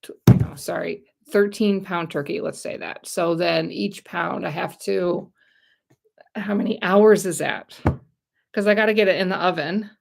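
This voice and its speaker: A woman's voice: on the low side, steady tone, unhurried, creaky. A middle-aged American woman chatting casually on a livestream, rambling and talking straight to her viewers. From United States. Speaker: YouTube channel host.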